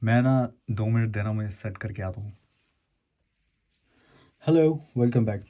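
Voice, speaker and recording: A man's voice: on the low side, measured pace, warm tone, lo-fi sound. Man casually explaining a product demo. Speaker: host of an unboxing and review video.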